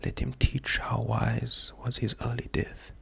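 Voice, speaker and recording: A man speaks in a hushed, breathy ASMR style with heavy vocal fry. His delivery is slow and calming, with a flat, even melody that stays gentle and unhurried throughout. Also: mid-range voice, dry recording. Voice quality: breathy, creaky, half-whispered. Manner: gentle, unhurried, calming, often repeating words. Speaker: ASMR host. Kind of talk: slow soft-spoken ASMR poetry reading.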